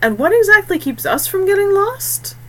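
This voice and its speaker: A woman's voice: extremely high pitch, very animated, normal speed, pitched above the performer's own voice. A woman voicing an uneasy, dutiful young man, a disinherited heir in a storybook, hesitant and questioning.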